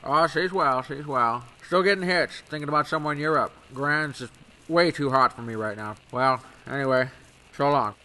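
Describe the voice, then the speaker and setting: An actor playing a chummy, cocky 1920s smuggler with wheedling gangster patter, in old-time radio style. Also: male voice, mid-range voice, normal speed, sharp tone, close to an everyday voice, rough recording. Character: cocky, chummy male 1920s smuggler, fast-talking wise guy.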